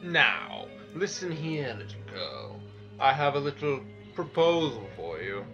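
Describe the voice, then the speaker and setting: A man playing an arrogant god of darkness in a dramatic story reading, with a slow, scoffing, threatening manner. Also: mid-range voice, sing-song, pushed and bright, highs cut off, full character voice. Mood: scheming. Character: menacing, arrogant male god of darkness and disorder. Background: music.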